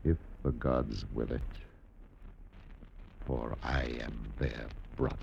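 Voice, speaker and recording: An actor playing a proud, dread-gripped Roman ruler in a theatrical, imperious radio drama style. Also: male voice, a bass voice, steady tone, slow pace, creaky, a little mellow, lo-fi sound, a little hiss or hum, heavily acted. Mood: brooding. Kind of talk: classic radio drama acting.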